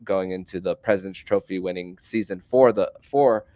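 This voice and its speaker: A man in his thirties hosting a podcast, chatting casually and halting often to restart his sentences. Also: low-pitched, dark tone, dry recording. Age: 30s. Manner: halting, repeats words while asking questions. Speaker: podcast host.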